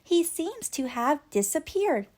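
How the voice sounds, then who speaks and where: A woman's voice: sits high, big pitch swings, a little slow, a little mellow, pitched above the performer's own voice. A woman voicing a cheerful, reassuring male cartoon mouse from a children's storybook. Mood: concerned.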